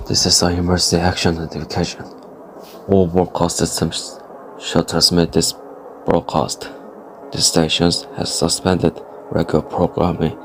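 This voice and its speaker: A low-pitched man delivers a scripted emergency alert as a broadcast announcer. His manner is formal and solemn, and prominent music plays under his voice.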